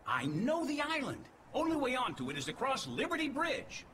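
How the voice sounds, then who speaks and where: An actor playing a small, cheeky, youthful robot scout in a cartoon-style video game, informal and wisecracking. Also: male voice, very high-pitched, huge pitch swings, moderate pace, a little hiss or hum, full character voice. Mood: confident. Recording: loud music behind voice.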